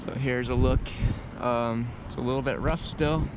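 A man giving a casual, unscripted vlog tour, speaking in a halting, low-key way as if thinking aloud. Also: steady tone. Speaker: vlogger. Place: outdoors on an open parking structure. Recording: windy outdoor audio, loud vehicle noise. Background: wind, vehicles, urban noise.

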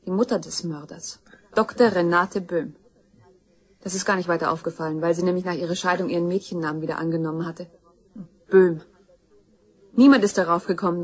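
A woman's voice: lowish voice, slightly rough. An actress playing a determined young woman in a crime radio play, accusing and insistent. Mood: grave, insistent. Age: young adult. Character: grim, determined young woman seeking justice for her murdered mother.

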